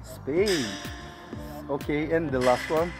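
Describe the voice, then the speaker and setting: A man's voice: a little high, slow. Upbeat young man. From Kazakhstan. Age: young adult. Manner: upbeat, laughs often. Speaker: vlogger host. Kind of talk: casual street interviews. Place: city street outdoors. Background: music.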